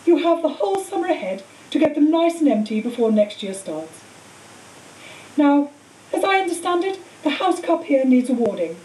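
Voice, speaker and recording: A woman voicing a kindly, whimsical old male headmaster who speaks in a measured, ceremonial way. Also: high-pitched, dark tone, pitched above the performer's own voice. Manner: measured, ceremonial speech-making. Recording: hissy, roomy, distant microphone.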